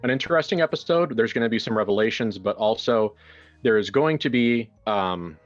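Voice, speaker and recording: A man's voice: mid-range voice, lively intonation, a little fast, muffled recording, faint music behind. A man hosting a horror roleplaying game in a casual, improvised way, with wry joking asides. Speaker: game master and host.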